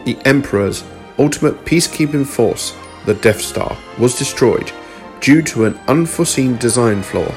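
A man's voice: mid-range voice, animated, moderate pace. A man reading scripted narration for a space fantasy story, as its narrator. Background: music under the voice.

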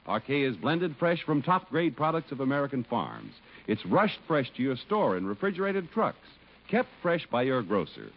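A man announcing in 1950s radio style, with an emphatic, polished sales delivery of scripted copy. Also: medium pitch. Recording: dull vintage radio recording.

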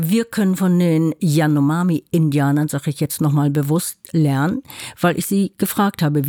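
Older German woman as interview guest, mellow and firm, dry close recording. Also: low voice, dry recording. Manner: firm, matter-of-fact storytelling. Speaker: guest activist. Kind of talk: interview conversation.

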